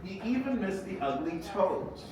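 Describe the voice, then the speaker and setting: A man reads a children's story aloud as its author, theatrically, with expressive delivery and dramatic pauses. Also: high-pitched, a crowd in the background. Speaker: author reading own book. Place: echoey indoor event space. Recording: echoey room, distant mic, noisy background.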